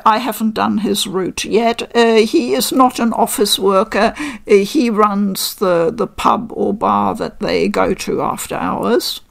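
An older woman hosting a casual unboxing review from her living room, chatty and measured with frequent pauses. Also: mid-range voice, vocal fry. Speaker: game reviewer host.

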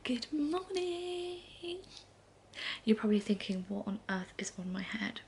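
A woman in her thirties chatting to camera in a soft, often half-whispered way on a living room sofa, a little congested. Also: a little high, big pitch swings, measured pace, faint music behind. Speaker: vlogger. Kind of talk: casual morning chat to camera.

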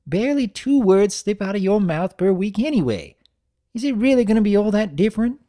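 A man's voice: high voice, very animated, brisk, dark tone, pitched above the performer's own voice. A man voicing a feisty, blunt elderly country granny pony with folksy talk, in a dramatic reading of a cartoon story. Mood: consoling.

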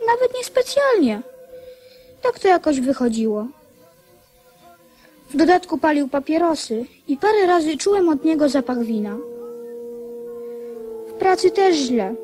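Earnest, high-pitched young boy. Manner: earnest, gently admonishing. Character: earnest young boy, a former king turned worker. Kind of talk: radio drama acting with narration.

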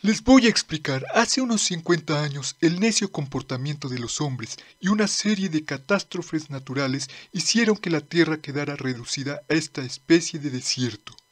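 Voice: mid-range voice, animated, moderate pace, hardly any pauses, lightly acted. A man voicing a scheming older monster companion in a manga dub, theatrical and explanatory. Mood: explaining. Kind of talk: Spanish manga dub acting.

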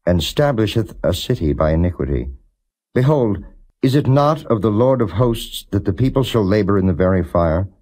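A man giving a non-dramatized scripture reading as a narrator, in a measured, solemn and formal way. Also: sits low, darkish tone. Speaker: Bible reader, audiobook narrator.

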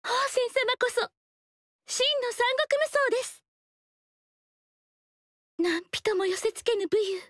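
A woman voicing a graceful, humble noble dancer in a video game, speaking softly and politely in elegant formal speech. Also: very high-pitched, slow, pauses often, no room sound, clean studio sound. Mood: courteous. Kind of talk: game character voice lines.

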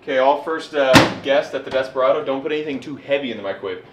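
A man's voice: mid-range voice, fast, heavy background noise. Upbeat joking man in an echoey house. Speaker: vlogger. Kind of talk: casual walkthrough vlog. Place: small old stone house and its yard.

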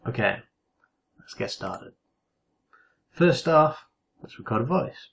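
A man with a medium-pitched voice narrates a screen-recorded tutorial. He talks casually and instructs one step at a time, as the host of the lesson. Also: lively intonation, takes it slowly, creaky, fairly bright tone.

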